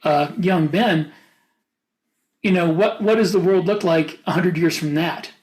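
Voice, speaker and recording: High-pitched American man talking casually. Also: a little slow. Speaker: podcast co-host. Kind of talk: casual panel discussion.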